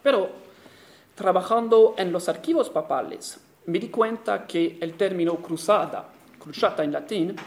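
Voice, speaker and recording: High-voiced Italian man lecturing in Spanish. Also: a little mellow. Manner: careful, halting delivery with self-corrections and fillers. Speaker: historian giving a conference talk. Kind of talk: academic conference lecture.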